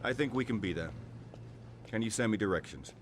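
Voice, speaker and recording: An actor playing a suave, commanding British secret agent in a video game, dry, terse and assured. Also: male voice, lowish voice, lively intonation, brisk, close to an everyday voice. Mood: businesslike. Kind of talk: cinematic video game acting. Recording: music behind, vehicle noise.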